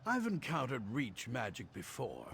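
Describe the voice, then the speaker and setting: Anxious male alchemist, earnest, scholarly, cowardly, lively pitch swings, gravelly creak, video game dialogue. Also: moderate pace, a little hiss or hum, full character voice. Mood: scholarly, urgent.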